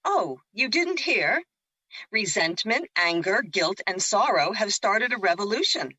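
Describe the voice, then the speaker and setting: A woman's voice: medium pitch, big pitch swings, a little slow, bright, forceful tone, husky, no echo, exaggerated voice. A woman acting in an audio drama as a teasing, breezy celestial sister, casual and wry, on a slightly dull recording. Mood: casual, gossipy.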